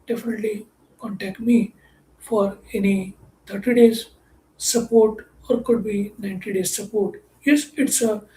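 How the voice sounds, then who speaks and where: A man with an Indian English accent, whose first language is Marathi, coaches his listeners in an earnest, repetitive way. His voice carries a clear room echo over a faint ambient background, as if he recorded himself in a bare room. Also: high voice, steady tone, unhurried, darkish tone, slight background noise. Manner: earnest, repetitive phrasing, often says "could be". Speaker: mindset coach. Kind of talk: motivational teaching talk.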